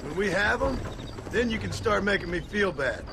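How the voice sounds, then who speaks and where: Western game lead: terse, sardonic American gunslinger, fairly high voice, grim delivery, clear background noise. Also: male voice, expressive, normal speed. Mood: dry, determined. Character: stern, sardonic American gunslinger hunting former gang mates. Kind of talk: dramatic video game acting.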